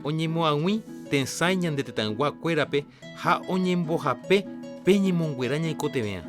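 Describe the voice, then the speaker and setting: A man with a Paraguayan accent giving scripted health education as a radio presenter, in a didactic, deliberate way. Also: on the high side, animated. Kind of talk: scripted educational narration in Jopara. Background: guitar music.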